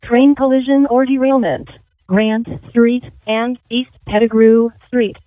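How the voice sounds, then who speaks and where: A woman giving a measured, formulaic emergency dispatch announcement over radio. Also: fairly high pitch, steady tone, a little hiss or hum. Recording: tinny phone-like radio transmission.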